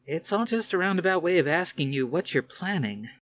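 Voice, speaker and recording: A man reading aloud, voicing a sharp-tongued young woman officer who is the commander's daughter and keeps prodding her father with questions. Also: fairly low pitch, lively intonation, a little fast, echoey room, slight background noise, voice pushed up, natural voice. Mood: needling. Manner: prodding, challenging.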